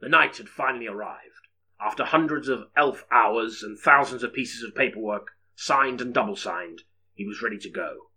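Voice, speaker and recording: A man's voice: medium pitch, moderate pace, sharp tone, slightly rough. A man narrating an audiobook story in a dramatic, scripted storytelling style.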